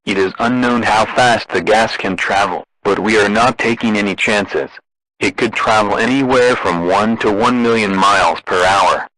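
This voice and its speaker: Low-voiced man reading emergency alerts. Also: a bit bright, dry recording, low-quality recording. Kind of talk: scripted emergency broadcast narration.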